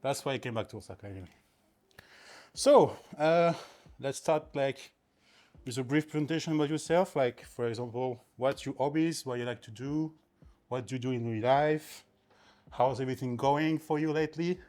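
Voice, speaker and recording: A woman's voice: rumbling low, normal speed, warm tone. A woman interviewing a guest in a casual chat and keeping the talk going with a string of friendly questions.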